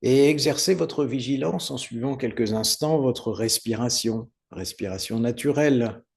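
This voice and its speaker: A man teaching guided meditation, giving unhurried, soothing step-by-step instructions with pauses. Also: medium pitch, gravelly, darkish tone. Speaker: yoga nidra meditation teacher.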